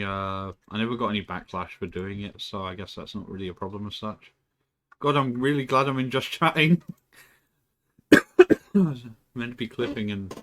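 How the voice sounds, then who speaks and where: Slightly low-voiced man streamer rambling. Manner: rambling, with frequent throat clearing and swearing. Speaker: live streamer. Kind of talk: casual stream chat. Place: indoors at home.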